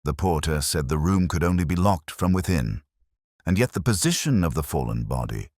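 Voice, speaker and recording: Very deep, brooding detective narrator played by a man. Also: lively intonation, darkish tone, no room sound, studio quality. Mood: sombre, watchful. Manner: measured, reflective, literary, sombre. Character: world-weary, brooding middle-aged male English detective narrator. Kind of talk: scripted first-person mystery narration.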